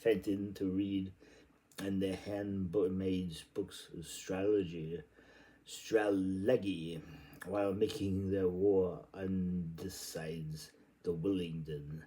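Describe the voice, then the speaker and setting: A man's voice: sits low, flat delivery, slow pace, mellow, poor audio. A man reading literary prose aloud in a theatrical, playful and exclamatory way. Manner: playful, exclamatory delivery with sudden outbursts. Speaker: reader.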